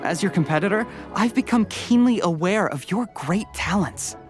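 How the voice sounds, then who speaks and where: Courteous young candidate, expressive intonation. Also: male voice, high voice. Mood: gracious. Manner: courteous, measured. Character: polite, diplomatic, earnest young male candidate. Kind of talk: video game voice acting. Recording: prominent background music.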